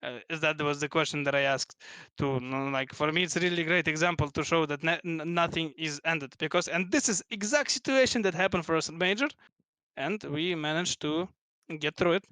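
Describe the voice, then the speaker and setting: Young Russian-accented male guest, a touch high, halting casual speech. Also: sharp tone, clear, resonant tone, no echo. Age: 20s. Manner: halting, many restarts and fillers. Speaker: guest, pro gamer. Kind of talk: casual interview chat.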